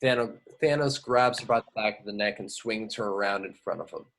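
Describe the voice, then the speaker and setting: A man with a fairly low voice narrates stage directions. It is a scripted read, delivered as part of an amateur table read. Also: brisk, gravelly, fairly bright tone, low-quality recording.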